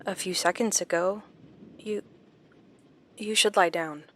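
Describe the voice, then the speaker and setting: A woman acting a gentle, perceptive companion in an audio drama, with a measured, soft-spoken delivery. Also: fairly low pitch, steady tone. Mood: concerned.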